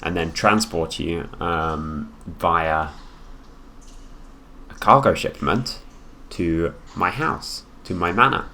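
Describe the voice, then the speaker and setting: A man voicing a haughty, possessive prince in an improvised ASMR roleplay, speaking to the listener with condescension and deliberate pauses. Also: low-pitched, pushed and bright, heavily acted. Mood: smug, matter-of-fact. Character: haughty possessive young prince, heir to the throne, coolly menacing.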